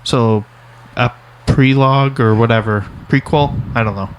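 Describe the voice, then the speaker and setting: A man co-hosting a podcast in casual chat, easygoing and halting as he searches for words. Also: medium pitch, steady tone, smooth, poor audio. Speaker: podcast co-host.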